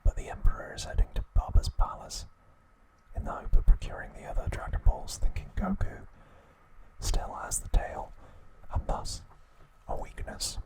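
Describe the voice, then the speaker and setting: Whispering male ASMR narrator. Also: rough recording. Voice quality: breathy, unvoiced whisper. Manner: slow, deliberate, soft, broken into short phrases. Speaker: ASMR narrator recapping a show.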